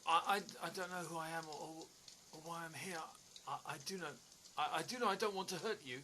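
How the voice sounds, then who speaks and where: A man playing a confused, injured, apologetic stranger in an audio play, halting and stammering. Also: a little high, sharp tone. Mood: confused, shaken. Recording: clearly noisy background.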